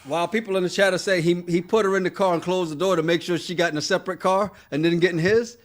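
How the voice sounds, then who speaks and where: Man, podcast co-host, casual chat, laughs often, repeats words, slightly high voice. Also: fairly even intonation, fast. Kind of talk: casual chat reading live chat.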